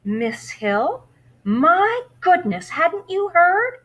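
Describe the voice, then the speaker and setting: A woman's voice: very high-pitched, big pitch swings, very slow, fairly bright tone, pitched above the performer's own voice, full character voice. A woman reading aloud, voicing a polite middle-aged woman librarian in a sweet, courteous manner. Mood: surprised.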